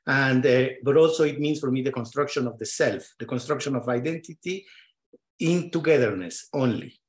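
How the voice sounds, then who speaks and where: A man's voice: mid-range voice, moderate pace. Earnest non-native-speaking man panelist. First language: not English or French. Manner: earnest, searching for words. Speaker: panelist, artist. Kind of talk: round-table discussion. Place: large dark stage with audience. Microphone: handheld mic.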